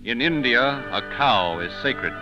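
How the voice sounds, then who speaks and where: Male narrator, vintage 1930s radio: emphatic declamation, mid pitch, dull old sound over clear music. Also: lively intonation, measured pace.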